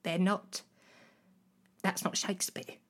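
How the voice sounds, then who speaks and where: A woman playing William Shakespeare as a silly, boastful playwright in a comedy sketch, rambling and emphatic with a husky voice. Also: mid-range voice, flat delivery, slow, close to an everyday voice. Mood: indignant, dismissive. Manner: rambling, emphatic, swooping exclamations.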